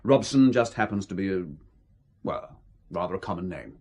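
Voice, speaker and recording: A man's voice: deep voice, lively intonation, moderate pace, dark tone. A man with a British accent playing a suave, coercive intelligence officer, condescending and coolly menacing, in an audio drama. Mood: curt, insistent. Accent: British English.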